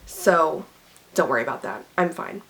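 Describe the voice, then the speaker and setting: A young woman chatting casually about books, a little raspy and scratchy from being sick, rambling with lots of "like" and "um". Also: low voice, animated, moderate pace, fairly bright tone. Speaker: book vlogger. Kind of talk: casual chat to camera.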